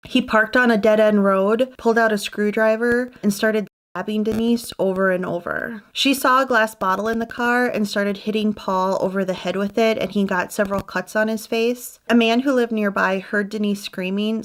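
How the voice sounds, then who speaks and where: A woman narrates a true crime case from a script, keeping her telling steady and matter-of-fact. Her voice sits at an ordinary middle pitch. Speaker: true crime channel host.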